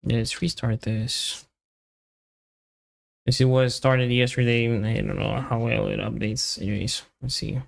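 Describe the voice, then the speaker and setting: A man talks to himself during a casual coding stream. His voice is heavily creaky, full of vocal fry, at a middling pitch. He goes slowly, muttering in short, hesitant fragments as he thinks aloud. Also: fairly even intonation. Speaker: developer live-streaming coding.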